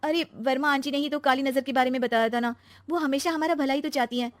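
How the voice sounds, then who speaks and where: A woman voicing an excitable, chatty young married daughter-in-law who is easily aggrieved, in a storybook style. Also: sits high, fast, no echo, clean studio sound, pitched above the performer's own voice, natural voice.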